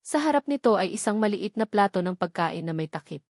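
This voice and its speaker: A woman with a Filipino accent narrating a scripted romantic drama in a measured, dramatic way with emphatic short phrases. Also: medium pitch, lively intonation, darkish tone, no echo, clean studio sound.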